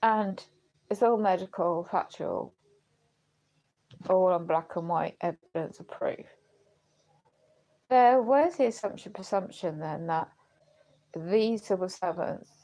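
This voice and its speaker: A low-pitched woman talks slowly on a personal livestream, searching for words as she goes. Her voice is gravelly and starting to give out. She sounds earnest and questioning, with an indignant edge. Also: mellow, poor audio. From United Kingdom. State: voice going, unwell. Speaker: live streamer. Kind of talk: rambling personal livestream talk.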